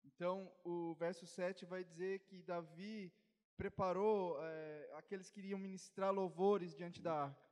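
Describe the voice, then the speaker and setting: A man interpreting a sermon live, turning each phrase over quickly and fluently. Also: high voice, fairly even intonation, a little mellow. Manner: quick, fluent rendering with fillers. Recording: long hall reverb.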